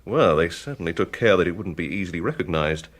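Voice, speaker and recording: An actor playing a police pathologist in a radio drama, giving findings in a precise, measured way. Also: male voice, low voice, expressive, a bit bright. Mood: clinical. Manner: measured, clinical.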